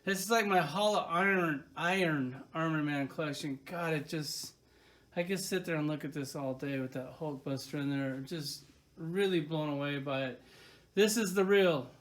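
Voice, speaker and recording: A man's voice: fairly high pitch, moderate pace, a bit bright. A man casually reviewing toys from his collection, enthusiastic and rambling, with lots of filler words. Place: at home by his collection shelves.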